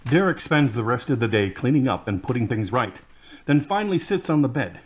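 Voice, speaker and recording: A man's voice: medium pitch, very animated, brisk, slightly rough. A man narrating scripted scenes in an audio drama.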